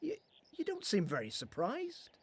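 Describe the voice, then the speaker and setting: A man's voice: high-pitched, huge pitch swings, moderate pace, slightly rough, exaggerated voice. A man acting in a radio Bible play as a humble, earnest son called to be a prophet, speaking hesitantly.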